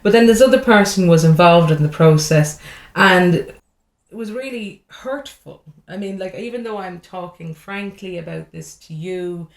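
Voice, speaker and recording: Irish woman, lowish voice, frank and chatty, echoey room. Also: moderate pace. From Ireland. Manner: frank and chatty, with laughing asides. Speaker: comedian guest. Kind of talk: casual interview chat.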